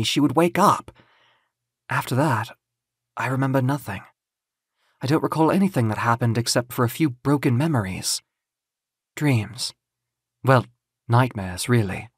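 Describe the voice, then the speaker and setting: Young male lead for an audio comic, haunted and husky, voiced by a man. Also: medium pitch, dry recording, clean studio sound.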